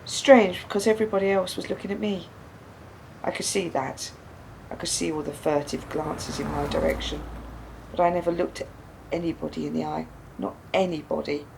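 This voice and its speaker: A woman's voice: moderate pace, vocal fry. An actress in a radio play playing a bereaved, wounded woman who questions pointedly with controlled bitterness. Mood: quietly bitter. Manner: probing, pointed, echoing words back. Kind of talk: naturalistic radio play dialogue. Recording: steady background noise, low rumble.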